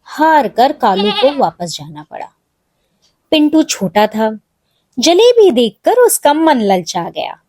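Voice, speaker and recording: A woman narrating a scripted children's story in an expressive, measured way. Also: fairly high pitch, no room sound.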